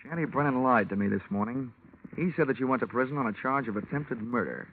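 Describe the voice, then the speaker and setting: A man's voice: medium pitch, fast, lightly acted. A man playing a wisecracking radio detective. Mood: pressing. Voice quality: wisecracking, dry. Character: hard-boiled male insurance investigator, wry. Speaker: detective lead and narrator. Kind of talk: 1950s radio drama acting. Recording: muffled old radio recording.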